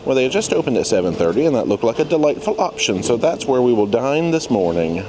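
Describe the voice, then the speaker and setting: A man's voice: mid-range voice, big pitch swings, fairly quick. A man narrating a casual travel vlog in a playful, exclamatory way. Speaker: travel vlogger. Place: on board a cruise ship, various spaces. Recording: clear background noise, ship ambience.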